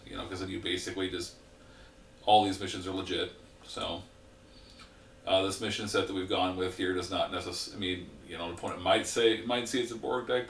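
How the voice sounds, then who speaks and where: A man hosting a gaming channel, explaining a card game deck in a rambling, relaxed way with a congested voice that is giving out. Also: low-pitched, echoey room, far from the mic, slight background noise.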